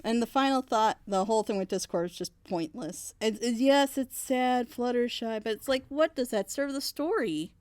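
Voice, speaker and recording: Higher-pitched woman, emphatic and critical. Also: moderate pace, hardly any pauses, darkish tone, dry recording. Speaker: reaction show panelist. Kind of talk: opinionated casual review discussion.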